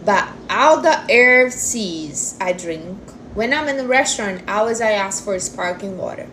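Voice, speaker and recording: Female, forty, livestream host. Unhurried, mid-pitched, casual chat with non-native phrasing. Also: expressive, a bit bright, slight background noise, low-quality recording. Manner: informal, non-native English phrasing, addresses listeners as honey and guys. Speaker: livestream host answering viewer questions on diet.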